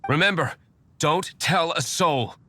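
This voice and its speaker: A man's voice: fairly high pitch, slow pace, bright, forceful tone, no echo, heavily acted. A man playing a cool, curt, secretive young vigilante in a video game, speaking in a terse, formal, commanding way.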